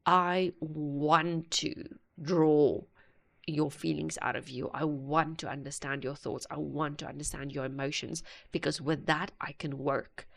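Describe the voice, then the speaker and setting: A woman hosting a music reaction video, talking earnestly and haltingly with many pauses. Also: deep voice, fairly even intonation, creaky. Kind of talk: casual reaction commentary.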